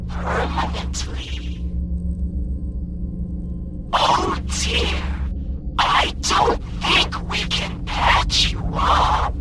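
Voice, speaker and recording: A woman playing a menacing, fiery jack-o'-lantern animatronic monster in a horror game, taunting in a slow, breathy voice. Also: extremely high pitch, very echoey, very noisy, heavily acted. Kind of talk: scripted horror video game villain lines.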